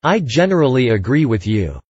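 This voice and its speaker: A man's voice: mid-range voice, big pitch swings, normal speed, a little mellow, slightly rough, no room sound, muffled recording. A man reading short English answers for a language lesson in a careful, scripted way. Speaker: English dialogue reader.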